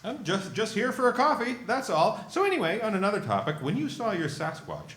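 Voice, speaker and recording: Nervous cartoon fella acting casual, stammery, up and down pitch, echoey stage. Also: male voice, big pitch swings, a little fast, fairly bright tone, a little hiss or hum. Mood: feigned casual. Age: middle-aged. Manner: flustered, stammering. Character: nervous, suspicious man feigning casualness. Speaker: voice actor at a live table read. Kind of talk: animated comedy read aloud on stage. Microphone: stand microphone.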